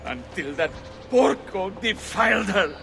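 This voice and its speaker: A man playing a bitter, grief-stricken mourner with an Italian accent, halting and anguished, in a video game. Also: sits high, sing-song, vocal fry, fairly bright tone, a little hiss or hum, full character voice. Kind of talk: video game cutscene acting.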